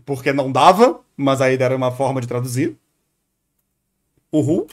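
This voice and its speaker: A man's voice: mid-range voice, animated, moderate pace. A man with a Brazilian accent giving casual, rambling, off-the-cuff reaction commentary as a streamer. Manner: chatty, rambling, informal.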